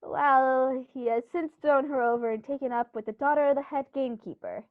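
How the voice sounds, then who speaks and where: A woman's voice: high voice, brisk, no echo, close to an everyday voice. A woman playing an upper-class male country squire in a 1930s-style radio play, speaking with theatrical, genteel emphasis. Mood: confiding. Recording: dull, muffled recording.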